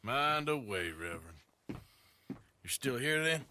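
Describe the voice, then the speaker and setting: An actor playing a gruff, sardonic outlaw cowboy with a dry way of talking in a video game cutscene. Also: male voice, mid-range voice, sing-song, slow pace, pushed and bright, a little hiss or hum, exaggerated voice. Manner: dry, sarcastic.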